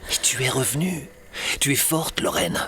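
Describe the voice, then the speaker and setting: A man's voice: mid-range voice, big pitch swings, moderate pace, bright, forceful tone, a little hiss or hum. A man playing a devoted, protective husband in an audio drama, speaking in an intimate, earnest and reassuring way. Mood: tender, reassuring.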